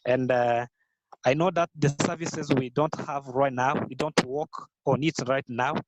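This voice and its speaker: A man's voice: medium pitch, steady tone, dry recording, rough recording. Man, Rwandan accent, Kinyarwanda native, hesitant English, dry sound. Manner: halting, many fillers and restarts, careful English. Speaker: guest, business founder. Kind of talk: podcast interview.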